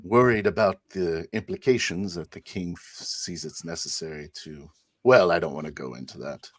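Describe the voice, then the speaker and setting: Guy doing tabletop rp as a smug priest, really deep voice, dry mic, hesitant n genteel. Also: moderate pace, no room sound. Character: smug, devout inquisitor priest. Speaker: tabletop roleplay player. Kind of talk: improvised in-character roleplay and narration.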